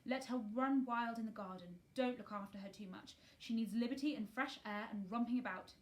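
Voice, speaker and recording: A woman voicing a weary, troubled gentleman guardian in a storybook, speaking formally and with emphasis. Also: on the high side, brisk, lightly acted. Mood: commanding, tired.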